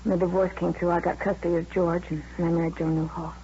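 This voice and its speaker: A woman's voice: lowish voice, level tone, quick pace, warm tone, lightly acted. An American woman playing a bitter, weary middle-aged wife of a car thief, speaking in clipped, resentful phrases in an old radio drama. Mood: bitter, defiant. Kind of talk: 1950s radio drama acting. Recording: dull, slightly noisy old radio recording.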